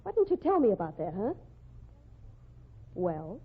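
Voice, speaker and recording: A woman's voice: mid-range voice, very animated, unhurried, husky, full character voice. Jealous tough woman, hard-edged slang, tinny 1940s radio sound. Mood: menacing. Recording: tinny old radio broadcast.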